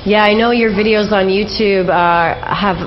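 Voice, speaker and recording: A woman's voice: medium pitch, fairly even intonation, a little slow. Woman radio interviewer, muffled noisy audio. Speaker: radio show host, interviewer. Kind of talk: conversational interview questioning. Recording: dull lo-fi audio, background noise.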